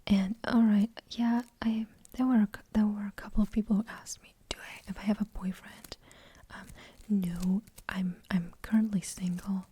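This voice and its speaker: A woman's voice: medium pitch, dark tone, husky. A young woman from Germany with a light German accent, whispering a rambling, hesitant ASMR question-and-answer chat. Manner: rambling, hesitant, many fillers. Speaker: ASMR creator.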